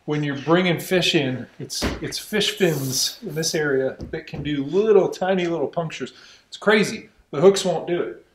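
A man's voice: fairly high pitch, normal speed, reverberant, a little hiss or hum. A young man chatting casually in a workshop, thinking aloud and restarting his sentences. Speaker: channel host. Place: open garage workshop.

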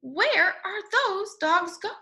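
A woman in her twenties reading a picture book aloud to young children in an expressive way, with drawn-out words. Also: very high voice. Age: 20s. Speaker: storybook reader. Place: small room at home, indoors. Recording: echoey room.

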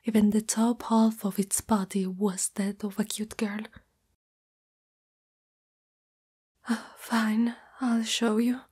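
A woman playing a shy, loving young spider-girl in an intimate, breathy whispered ASMR roleplay, speaking hesitantly and afraid of rejection. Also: medium pitch, level tone, measured pace, darkish tone. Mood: nervous, reluctant. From Italy.

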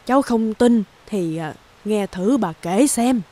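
A woman's voice: medium pitch, lively intonation, takes it slowly, mellow. A woman playing a caring old grandmother in a cartoon, who chides, teases and reassures. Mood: teasing, storytelling. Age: older. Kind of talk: cartoon dubbing.

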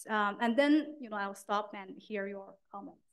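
Female professor, middle pitch, conversational academic explanation, frequent tag questions. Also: moderate pace, clear, resonant tone. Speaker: keynote speaker, communication professor. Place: university lecture room.

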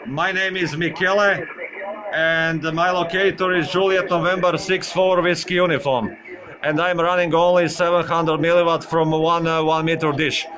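A man making a friendly amateur radio contact, halting and repeating his words. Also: fairly high pitch, flat delivery, a bit bright, poor audio. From Croatia.

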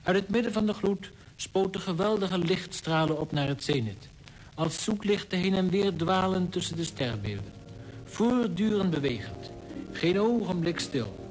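An actor playing a polar expedition member, giving a literary account in a radio play. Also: male voice, high voice, expressive, moderate pace, faint music behind. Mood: literary narration. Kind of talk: scripted radio drama narration. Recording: noisy old radio play recording.

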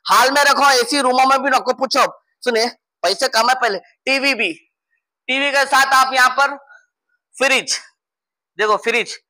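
A man in his thirties with a Hyderabadi accent, showing a farm guest house in a lively, joking promotional walkthrough, filmed outdoors and indoors. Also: very high voice, a little slow, no room sound, low-quality recording. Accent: Hyderabadi Hindi-Urdu. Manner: lively and joking, often calling out to viewers. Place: outdoor garden and indoor hall.

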